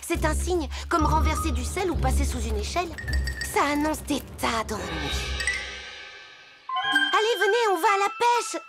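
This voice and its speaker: A woman's voice: sits high, lively intonation, normal speed, long pauses, loud music behind the voice. A woman voicing an eager, superstitious young female animal friend in a cartoon. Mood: warning. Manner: eager, fussy about bad luck.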